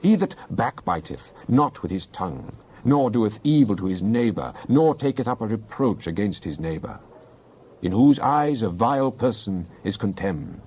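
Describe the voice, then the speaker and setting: A man playing a devout psalmist in a dramatized Bible reading, praying in an earnest, deliberate, declamatory way. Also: on the low side, big pitch swings, dark tone, husky, highs cut off. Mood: solemn, declamatory. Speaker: scripture reader.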